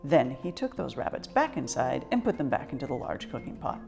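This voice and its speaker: Animated woman reading a children's story. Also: extremely low pitch, brisk, vocal fry, soft background music. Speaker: storybook reader. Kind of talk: expressive children's story narration.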